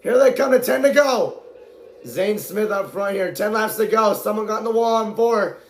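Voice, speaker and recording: A man calling a stock car race live as an amateur commentator. He talks excitably, often shouts, and his voice is going hoarse from the strain. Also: high voice, normal speed, roomy sound, slight background noise.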